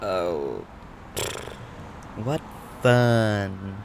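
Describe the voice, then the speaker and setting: A man's voice: on the low side, animated, very slow, gravelly, noisy background, exaggerated voice. A man playing a dry, introverted but fond young man in an audio drama, with understated teasing. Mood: fond, reluctant.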